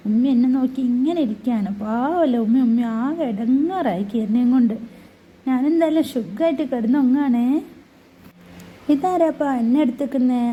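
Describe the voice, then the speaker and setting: A woman's voice: sits high, warm tone, breathy edge, muffled recording. A woman voicing a chatty, cheeky newborn baby in a family video voice-over, talking in a sing-song, cooing way with teasing asides.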